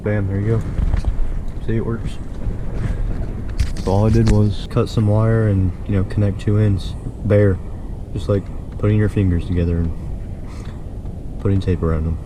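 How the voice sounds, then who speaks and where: Deep-voiced laid-back man explaining. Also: flat delivery, moderate pace, long pauses, background noise. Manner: laid-back, rambling, filler-heavy explaining. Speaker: DIY video host. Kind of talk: casual how-to walkthrough. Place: inside a pickup truck and around it, at night.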